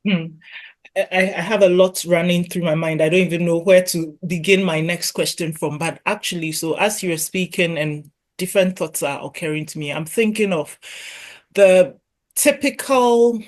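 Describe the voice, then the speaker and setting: A woman's voice: on the low side, normal speed. A woman hosting a casual interview, thinking aloud as she works her way toward a question. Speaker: podcast host.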